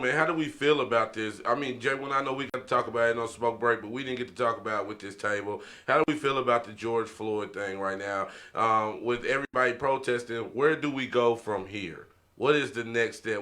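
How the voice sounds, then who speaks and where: A man's voice: mid-range voice, fairly quick, bright, forceful tone. An American man hosting a casual round-table discussion, putting questions to the table. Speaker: podcast host.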